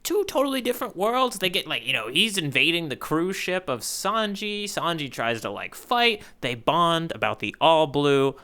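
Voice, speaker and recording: A man's voice: on the high side, big pitch swings, moderate pace, few pauses, pushed and bright. A man co-hosting a podcast in casual conversation, peppering his talk with "you know". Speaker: podcast co-host. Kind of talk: casual chat recapping a manga arc.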